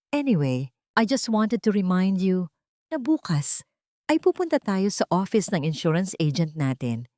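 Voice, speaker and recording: Woman voicing a calm, falsely sweet scheming husband. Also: mid-range voice, dry recording, studio quality. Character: cold, calm scheming husband feigning tender concern.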